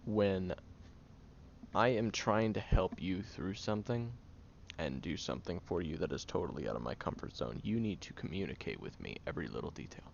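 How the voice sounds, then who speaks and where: Audio roleplay: male actor as tired tsundere guy, gruff but secretly caring, halting, creaky voice with lively swings in pitch. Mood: earnest, scolding. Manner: halting, sighing, grumpy and flustered. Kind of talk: intimate audio roleplay, acted monologue to the listener. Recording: dull lo-fi, clear background noise.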